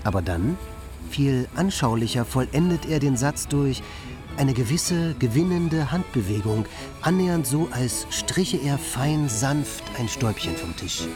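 A man's voice: medium pitch, very animated, unhurried, music in the background. Pointed ironic male narrator. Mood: dry irony. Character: ironic second male narrator, dryly satirical observer. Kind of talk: literary radio play narration.

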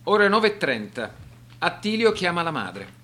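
A man narrates a documentary radio drama from a script. His slightly high voice is serious and measured, with strongly varied pitch that rises and falls through each phrase. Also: sing-song, sharp tone.

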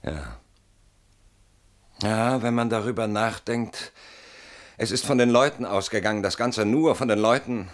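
An actor in a German radio play voicing an evasive, self-justifying man with a guilty conscience, halting and brooding, protesting in sudden outbursts. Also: lowish voice, lightly acted. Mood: anxious, pleading.

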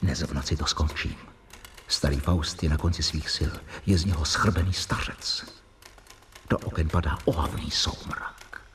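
An actor playing a brooding doctor, delivering a solemn, dramatic monologue in a radio drama. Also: male voice, very deep voice, fairly bright tone, slight background noise. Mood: grim, resolute. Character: brooding male doctor narrating grim night vigil.

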